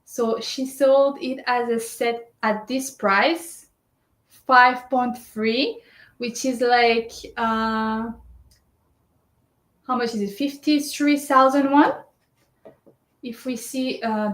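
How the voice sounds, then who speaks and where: A woman's voice: on the high side, fairly even intonation, slow pace, fairly bright tone. A woman presenting a step-by-step screen tutorial, explaining as she goes and often checking in with "right?" and "uh". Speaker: shopping service presenter. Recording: roomy echo.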